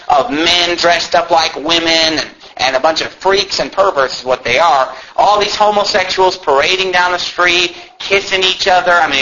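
American guy preaching a sermon, sharp intense voice, kinda muffled lofi audio w a bit of noise, very emphatic. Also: high voice. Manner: emphatic, preachy, with rhetorical questions and repetition for emphasis. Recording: muffled lo-fi with faint background noise.

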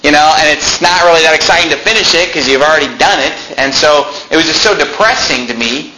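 A man's voice: on the high side, quick pace, pushed and bright. A man in his twenties tells a personal story to a congregation in a casual, chatty way, repeating phrases and turning to the audience with asides. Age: 20s. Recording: roomy echo, faint noise.

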